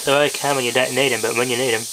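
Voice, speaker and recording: A man's voice: mid-range voice, fairly even intonation, rapid-fire. An Australian man talking casually and offhand to viewers while he works with his hands, muttering a little to himself. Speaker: hobbyist video maker. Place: indoors at home, working by hand. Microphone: handheld camera microphone. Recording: noisy handheld camera audio with clicks.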